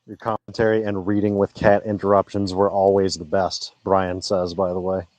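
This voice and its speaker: Laid-back low-voiced man reading chat. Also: level tone, normal speed, darkish tone, dry recording, poor audio. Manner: laid-back, reads chat messages aloud, swears casually. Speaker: livestream co-host. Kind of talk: casual livestream chat.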